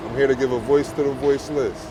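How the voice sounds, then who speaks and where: A man's voice: mid-range voice, fairly even intonation, fast, background noise. A man hosting a street interview channel, asking short, friendly questions in casual slang. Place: outdoors on a busy city street. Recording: outdoor street recording with passersby.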